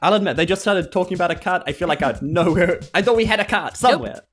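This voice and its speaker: Mid-pitched tabletop player talking casually. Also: lively intonation, fast talker, a bit bright, soft background music. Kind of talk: casual game chat and rules talk.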